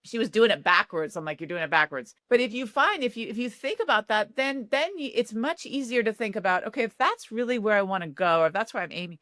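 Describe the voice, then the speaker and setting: A woman psychologist, a guest in an interview, explaining in an animated way and often adding "right?". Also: medium pitch, fast talker, few pauses, dry recording, clean studio sound. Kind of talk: interview conversation.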